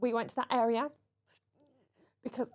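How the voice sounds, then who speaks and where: A woman in her twenties co-hosting a podcast in casual chat, giggly, chatty and quick to laugh. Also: fairly high pitch, level tone. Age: 20s. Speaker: podcast co-host.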